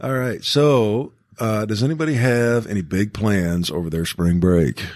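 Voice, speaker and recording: A man's voice: on the low side, a little slow, darkish tone, no echo. A man hosting a casual podcast chat in a folksy, enthusiastic way, often checking in with his listeners. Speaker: podcast host.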